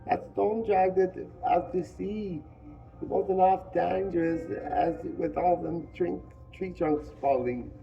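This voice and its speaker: A man reading aloud who voices an anxious, fussy plump schoolboy in a high, strained boyish voice. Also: fairly even intonation, slow, creaky, muffled recording, soft background music, heavily acted. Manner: high, strained boyish voice with working-class grammar.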